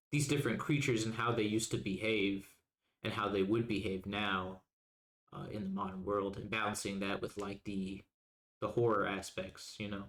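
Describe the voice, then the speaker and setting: A man's voice: on the low side, a bit bright, low-quality recording. A man co-hosting a podcast, chatting in a laid-back, reflective, conversational way. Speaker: podcast co-host. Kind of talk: casual podcast discussion.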